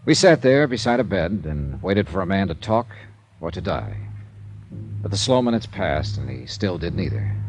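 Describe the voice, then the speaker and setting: Hard-boiled, wisecracking radio insurance sleuth; animated pitch swings, dry and clipped, vintage drama style. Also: male voice, moderate pace, soft background music, low-quality recording. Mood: grave, hushed. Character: wry, world-weary male freelance insurance investigator. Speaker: lead actor and narrator. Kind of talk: 1950s radio detective drama acting.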